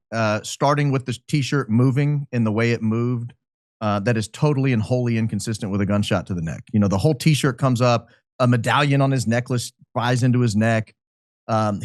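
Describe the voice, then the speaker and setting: A man speaking as a podcast guest, giving speculative commentary in an emphatic, deliberate way. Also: mid-range voice, no echo, clean studio sound. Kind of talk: speculative commentary in a talk show.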